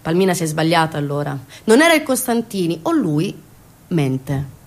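An actress performing an impassioned theatre monologue as a bitter, indignant storyteller, sarcastic and dramatic, swinging between hushed and loud. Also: female voice, deep voice, very animated, normal speed. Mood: ironic. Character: bitter, indignant woman storyteller recounting an injustice.

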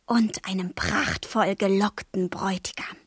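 An actress playing a passionate, wilful thirteen-year-old girl in love, in a literary radio play, with a breathy, husky, intense delivery. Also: medium pitch, steady tone, a little slow, dry recording, clean studio sound. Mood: dreamy, boastful. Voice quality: breathy, husky, often near a whisper. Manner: intense, demanding, dramatic delivery.

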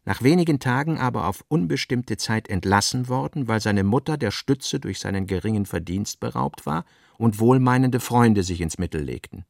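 A male narrator reads literary prose for a radio play. His voice sits on the low side with plenty of gravelly fry, and the sound is very dry, with no room around it. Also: lively intonation, normal speed, no echo.

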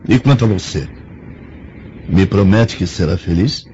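An actor with a Brazilian accent playing a concerned, dignified young Roman groom in a radio drama, earnest and formal. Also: male voice, deep voice, measured pace, warm tone. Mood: solemn affection. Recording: muffled radio drama, faint noise.

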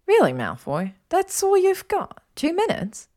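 Woman acting a sassy, taunting young woman, lilting high. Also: sing-song, normal speed, vocal fry. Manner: taunting, playful. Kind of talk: fan fiction audiobook dialogue.

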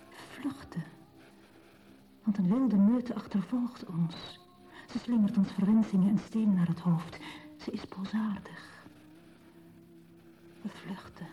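Poetic radio drama: female actor as breathy dreaming woman, very deliberate delivery, dull muffled sound. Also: medium pitch, lightly acted. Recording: dull radio play with faint music.

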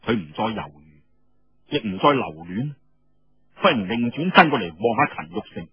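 A man narrating a dramatic traditional story in an expressive, emphatic way, with a Cantonese accent. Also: medium pitch, normal speed, husky, soft background music. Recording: dull lo-fi radio sound with room echo.

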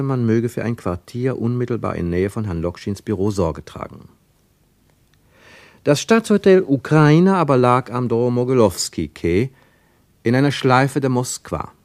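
A man narrating a radio play travel tale in a measured, gently ironic way. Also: medium pitch, no room sound. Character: wry third-person storyteller of a travel tale.

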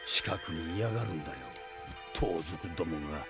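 A man acting in a Japanese radio drama as a cocky, defiant mercenary swordsman who speaks in rough, blunt slang. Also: sits low, a little fast, close to an everyday voice. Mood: low, wary. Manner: rough, slangy fighter's speech. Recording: studio drama with loud music behind.

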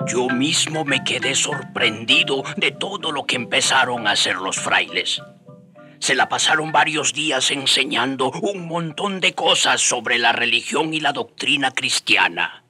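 Bright, forceful male narrator. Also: medium pitch, lively intonation, measured pace, soft background music. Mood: storytelling. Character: storytelling narrator recalling the friars' mission. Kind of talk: scripted radio drama narration.

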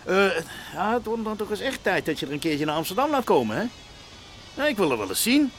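A man's voice: high voice, big pitch swings, brisk, a little hiss or hum. An actor playing a chatty, nosy man in a radio play, talking hesitantly and tagging his remarks with questions. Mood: nosy, coaxing. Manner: hesitant, rambling, full of tag questions. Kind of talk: naturalistic Dutch radio play dialogue.

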